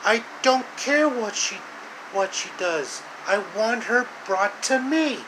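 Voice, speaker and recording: A man's voice: high voice, slow, pitched above the performer's own voice. A man voicing an imperious, disdainful ice queen villainess in an amateur storytelling. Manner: commanding, disdainful. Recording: steady loud room hum and hiss.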